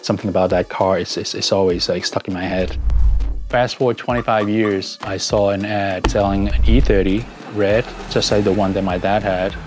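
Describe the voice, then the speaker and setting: A man's voice: on the low side, steady tone, normal speed. A middle-aged man from Hong Kong with a Hong Kong accent, telling his story reflectively as a car enthusiast in a documentary interview. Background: background music.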